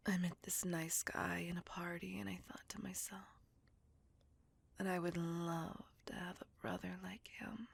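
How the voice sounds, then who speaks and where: A woman playing a seductive, possessive, coldly vengeful vampire in a slow, intimate, breathy whispered ASMR roleplay. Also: fairly low pitch, little pitch movement, heavily acted. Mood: wistful, brooding. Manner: slow, intimate, deliberate, savouring words.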